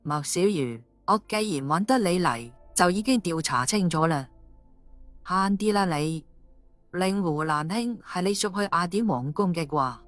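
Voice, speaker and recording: A woman's voice: on the low side, animated, a little slow. A woman playing a fierce, domineering policewoman in an audio story, commanding and confrontational. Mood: stern interrogation. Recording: music under the voice.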